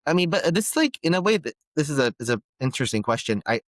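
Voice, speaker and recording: A man from Palestine, a guest on a podcast, speaking thoughtfully and pausing often to search for words. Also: fairly high pitch, big pitch swings, no echo, studio quality. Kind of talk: reflective conversation.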